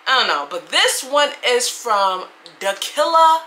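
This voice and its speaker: Animated young woman with a bright voice. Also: on the high side, measured pace, a little hiss or hum. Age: young adult. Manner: animated, chatty. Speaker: reaction video host. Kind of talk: casual reaction commentary. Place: small room indoors.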